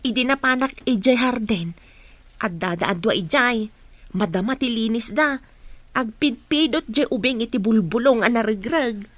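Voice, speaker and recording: A woman's voice: fairly high pitch, moderate pace, a bit bright, no echo. A woman playing an attentive, encouraging housekeeper and carer in a radio drama, with an Ilocano Filipino accent. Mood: respectful, encouraging.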